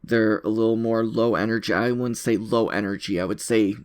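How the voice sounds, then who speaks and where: Low-voiced man rambling casually. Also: fairly even intonation, brisk, a little mellow, smooth. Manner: rambling, self-deprecating, repeats phrases. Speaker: content creator recording an audio log. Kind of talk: casual unscripted monologue.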